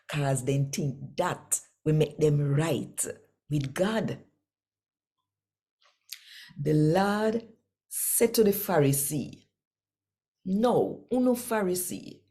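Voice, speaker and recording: A woman in her sixties with a Jamaican accent, reading scripture aloud as an expressive storyteller, conversational and emphatic. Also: deep voice. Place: small indoor room at home.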